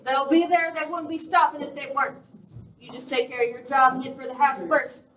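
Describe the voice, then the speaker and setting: A noisy, distant recording. A woman plays a high-voiced, bossy outlaw leader ordering a gang around in a Western radio play. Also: flat delivery, a little fast, close to an everyday voice. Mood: bossy, eager. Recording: distant, echoey live recording with clear noise.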